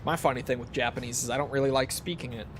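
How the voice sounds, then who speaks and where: A man's voice: medium pitch, fairly even intonation, racing, over music. A man streaming a game and chatting casually with viewers in a rambling, self-deprecating, casually profane way. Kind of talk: casual livestream chat, replying to viewers.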